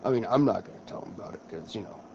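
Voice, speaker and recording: A man's voice: on the low side, expressive, racing, creaky, dark tone, lo-fi sound, slight background noise. A man co-hosting a racing game live stream, trading crude jokes in a laid-back way. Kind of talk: crude joking commentary over racing game.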